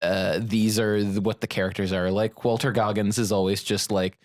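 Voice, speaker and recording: A man's voice: on the low side, little pitch movement, normal speed. A man co-hosting a podcast, chatting casually about films in a rambling way with mock quotes. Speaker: podcast co-host. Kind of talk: casual film discussion.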